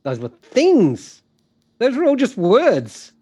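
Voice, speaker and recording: Man playing a blustering CEO, wildly varied pitch. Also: wildly swooping pitch, slow, dark tone, slightly rough, full character voice. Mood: exasperated. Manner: blustering, impatient. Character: exasperated, blustering male company chief executive. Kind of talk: comic musical audio drama acting.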